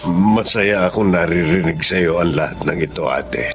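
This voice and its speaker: A man playing a kind, easygoing brother in a radio drama, speaking in a gentle, reassuring way. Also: sits low, moderate pace, over music. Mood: kindly, reassuring.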